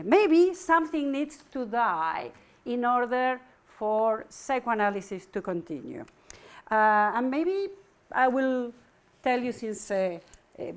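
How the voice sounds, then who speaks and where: Halting woman lecturer from Argentina. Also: a little high. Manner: thoughtful, halting with frequent fillers. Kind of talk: academic lecture. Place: university lecture room.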